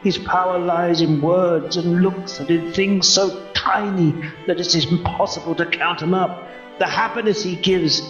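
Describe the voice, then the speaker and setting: A man's voice: sits high, steady tone, unhurried, fairly bright tone. A man acting an elderly miser in a radio play, stammering, exclaiming and repeating his words with theatrical feeling. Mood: earnest. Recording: roomy, dull audio with music.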